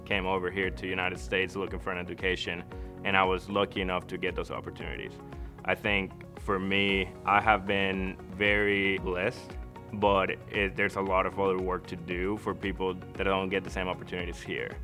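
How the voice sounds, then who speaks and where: Man from Costa Rica, very low voice, flat intonation, earnest, reflective, interview testimonial. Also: normal speed. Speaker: architect. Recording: music behind the voice. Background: background music.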